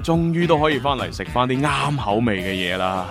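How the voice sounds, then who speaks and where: An actor playing a young, brisk, dutiful time-travel agent in a radio drama. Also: male voice, medium pitch, animated, natural voice. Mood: casual, dismissive. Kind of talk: Cantonese radio drama acting. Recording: music playing behind the voice.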